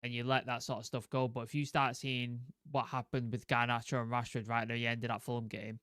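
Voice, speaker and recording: A man's voice: mid-range voice, little pitch movement, fairly quick, a bit bright, clean tone, no room sound, clean studio sound. A man talking as a football podcast panelist, rambling on in long strung-together clauses. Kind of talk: casual football chat.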